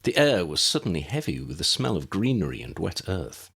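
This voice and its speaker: A low-pitched male narrator reads fiction aloud. His voice is husky, and his intonation swings widely as he tells the story in an expressive audiobook style. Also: a little fast. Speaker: audiobook narrator reading own fan fiction.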